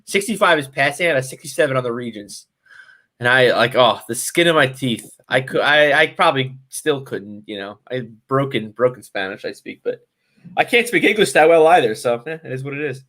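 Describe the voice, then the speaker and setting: A man's voice: medium pitch, animated, brisk, sharp tone. Casting: young male co-host, easygoing, jokey, rambles. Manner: joking, rambling, laughs a lot. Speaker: podcast co-host. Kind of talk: casual chat.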